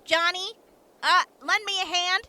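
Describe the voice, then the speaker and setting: Sardonic wasteland medic female actor: very high, piercing voice, blunt and deliberate. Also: steady tone, sharp tone, heavily acted. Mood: brisk, clinical. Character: sardonic, sharp-tongued wasteland doctor woman. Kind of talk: audio drama acting.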